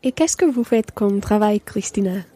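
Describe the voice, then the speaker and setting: A woman playing a sociable French mother and teacher in a learner's radio play, with a clear, measured conversational delivery. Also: medium pitch, lively intonation, dark tone, natural voice. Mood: sociable, warm.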